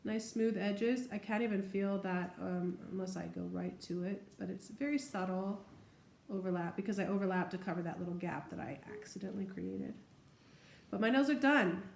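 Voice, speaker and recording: A woman talks through a hands-on demonstration in a casual, chatty way. Her voice sits at an average pitch. The recording sounds like a home room, with noticeable echo and some light noise. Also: normal speed, vocal fry, a little mellow. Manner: chatty, informal, narrates each step. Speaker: nail tutorial vlogger. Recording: echoey room with faint background noise.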